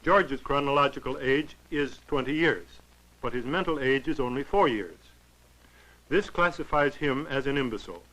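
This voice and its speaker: A man narrating a 1940s educational film in a formal, clinical, measured way. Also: mid-range voice, sharp tone, rough recording.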